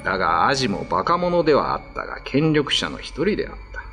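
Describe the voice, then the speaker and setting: A man's voice: mid-range voice, big pitch swings, quick pace, music in the background. A man narrating a classical ghost story as scripted radio drama storytelling.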